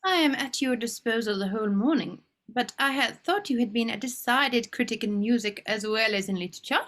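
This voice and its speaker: High-voiced, sardonic lady critic played by a woman. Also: fairly quick, a bit bright. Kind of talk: theatrical period comedy play reading.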